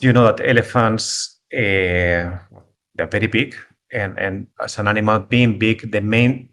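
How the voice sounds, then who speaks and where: Man, Spanish accent, middle-aged, slightly low voice, halting and repetitive, video call talk. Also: fairly bright tone. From Spain. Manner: halting, repeats words while searching for phrasing. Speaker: guest speaker, conservation biologist. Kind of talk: online talk.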